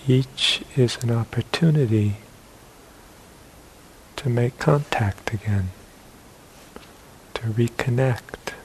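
A man leading a guided meditation as a teacher, speaking in short phrases with long pauses between them. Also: mid-range voice, poor audio. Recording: hissy live talk recording.

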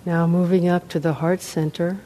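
A woman meditation teacher leading a guided meditation, unhurried, in short phrases with long pauses. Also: lowish voice, level tone, dark tone, poor audio. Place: meditation center, live talk.

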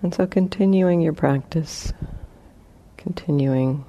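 A woman leading a guided meditation as a teacher, speaking gently in short, spaced phrases with long pauses. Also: low voice.

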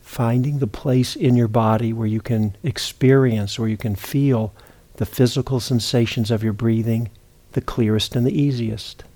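A man teaching meditation, giving gentle, unhurried guided instructions with long pauses between phrases. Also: mid-range voice, steady tone.

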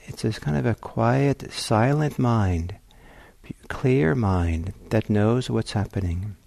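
A man teaching meditation in a gentle, reflective way, pausing often as he searches for phrasing. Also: on the low side, lively intonation, creaky, no room sound. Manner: gentle, hesitant, reflective phrasing with long pauses. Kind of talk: guided meditation talk.

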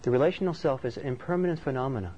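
A man's voice: medium pitch, warm tone. Thoughtful man giving a dharma talk. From Norway. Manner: thoughtful, conversational, unhurried. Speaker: meditation teacher. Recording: slightly dull recording with faint background noise.

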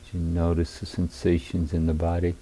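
A man guiding a meditation as a teacher, in measured, sparse phrases with long pauses between them. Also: sits low, completely flat delivery, dark tone, slight background noise. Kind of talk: guided meditation instruction. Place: meditation retreat hall.

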